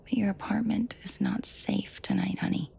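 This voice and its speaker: A woman playing a protective, commanding mafia boss in an intimate ASMR roleplay, speaking softly and often whispering, unhurried, with dry teasing authority. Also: medium pitch, big pitch swings, full character voice. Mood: calm, menacing. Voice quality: breathy, soft, often whispered.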